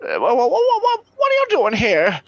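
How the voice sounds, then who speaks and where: A man's voice: extremely high pitch, wildly swooping pitch, moderate pace, a bit bright, dry recording, highs cut off, exaggerated voice. An actor playing a nervous, evasive, melodramatically devoted man in a comic radio play, stammering and flustered. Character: flustered, evasive, theatrically devoted male sidekick.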